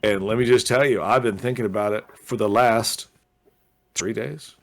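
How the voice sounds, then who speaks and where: A man's voice: lowish voice, steady tone, normal speed, creaky. A man co-hosting a casual podcast, rambling with lots of fillers and restarts. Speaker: podcast co-host. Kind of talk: casual chat. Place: Los Angeles area.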